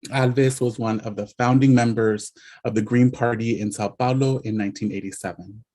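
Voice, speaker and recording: A man's voice: lowish voice, fairly even intonation, normal speed, mellow, rough recording. A man introducing the guest at an online lecture, reading through a list of exhibitions and awards formally, with an occasional filler. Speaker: introducer of the speaker.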